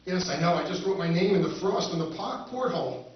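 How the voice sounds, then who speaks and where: Guy doing a wry scheming spaceman in a radio play, really animated, far from the mic. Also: sits high, fairly quick. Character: exasperated, scheming human spaceman held captive. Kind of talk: live recreation of 1950s radio science fiction acting. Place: live stage at a convention, indoors. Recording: echoey, distant, dull noisy convention hall.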